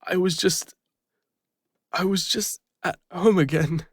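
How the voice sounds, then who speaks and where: A man with an English accent playing a guilt-ridden, lonely retired adventurer, speaking haltingly and emotionally in an intimate fantasy audio roleplay. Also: high-pitched, breathy edge, no echo, studio quality, full character voice. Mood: wistful, confessional.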